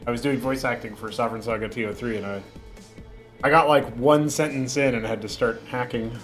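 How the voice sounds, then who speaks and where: A man's voice: mid-range voice, fairly even intonation, fairly quick, a bit bright, faint music behind. A young man chatting casually on a livestream, rambling with fillers and restarts, with a lingering cough. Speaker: streamer.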